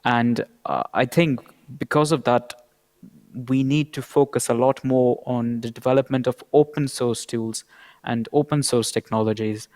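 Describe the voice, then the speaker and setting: A man speaks as a panelist, setting out his points in a considered way. His voice sits at a medium pitch. The recording carries a long, hall-like reverberation. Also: a little mellow.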